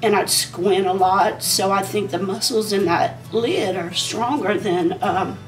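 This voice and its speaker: A woman in her forties talks through her makeup in a loose, rambling way, as if chatting with a friend. Her voice is a little hoarse from a sinus problem. She sits a short distance from the mic, with faint music playing behind her. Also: mid-range voice, measured pace. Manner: rambling and conversational, talking while applying makeup. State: losing her voice from a sinus issue. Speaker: beauty vlogger. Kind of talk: casual chatty makeup tutorial.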